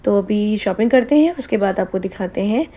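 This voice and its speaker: A woman's voice: medium pitch, lively intonation, a little fast, warm tone. A woman narrating a family vlog in a chatty, casual way, slipping English phrases into her Hindi. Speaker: vlogger.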